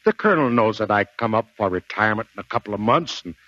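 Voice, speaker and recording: An actor playing a gruff, blustering middle-aged American Marine sergeant in a 1940s radio drama, with folksy, emphatic delivery. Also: male voice, lowish voice, lively intonation, quick pace, fairly bright tone, no echo. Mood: pleading. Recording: dull 1940s radio broadcast recording.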